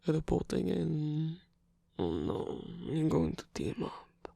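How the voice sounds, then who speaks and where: A man playing a flirtatious, theatrical rock singer in an intimate ASMR romance roleplay, teasing and murmuring with breathy, husky, hesitant speech. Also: fairly high pitch, very animated, slow, dark tone, no echo, full character voice. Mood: amused, touched. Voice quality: breathy, husky, often whispered. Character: flirtatious theatrical male rock singer, tired, playfully indulgent lover.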